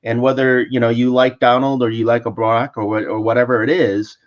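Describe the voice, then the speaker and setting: A man's voice: medium pitch, flat delivery, a little fast. A man chatting casually over a remote call, laughing easily and circling back on his words. His voice sits in a middle range, and the call audio sounds a little muffled and lo-fi. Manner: laughs often, repeats words while searching. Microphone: remote call mic.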